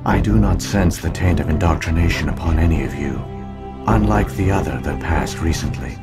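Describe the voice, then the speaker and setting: A grave, deep-voiced ancient AI. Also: male voice. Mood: solemn. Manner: slow, solemn, measured. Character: ancient damaged male AI archive system, grave. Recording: game audio with loud music.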